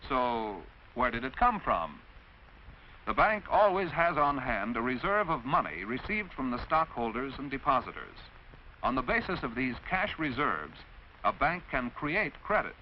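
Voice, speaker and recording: An American man narrates in the manner of a mid-century instructional film. His medium-pitched voice moves with lively intonation as he explains in a measured, carefully enunciated, didactic way. The old film soundtrack is dull, with faint noise.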